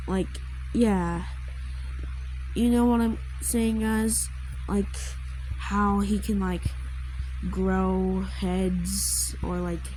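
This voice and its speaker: A woman giving casual commentary while drawing, rambling and halting as the creator explains a creature design, with trailing asides. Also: mid-range voice, mellow, slight background noise, poor audio.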